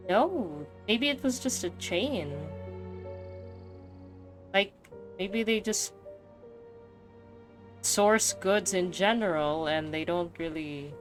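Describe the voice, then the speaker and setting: A woman doing casual, expressive, reactive commentary as she plays a video game. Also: medium pitch, slow, long pauses, music in the background.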